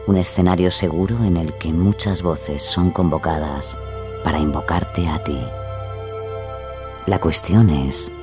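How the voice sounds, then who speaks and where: A man hosting and narrating a podcast with scripted, atmospheric, measured delivery, addressing the listener intimately and dramatically. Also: deep voice. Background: music.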